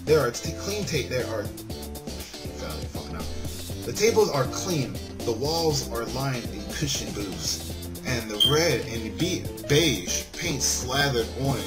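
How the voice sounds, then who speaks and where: A man reading a visual novel aloud and commenting casually, often stumbling over lines and correcting himself. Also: mid-range voice. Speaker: video game let's-play host. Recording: music playing behind the voice. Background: game background music.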